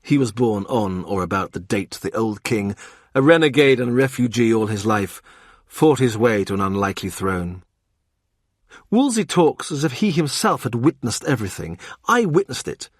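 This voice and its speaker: A man narrating a historical novel in a measured, deliberate way. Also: medium pitch, animated, no echo, clean studio sound. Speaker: audiobook narrator. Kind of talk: scripted literary narration.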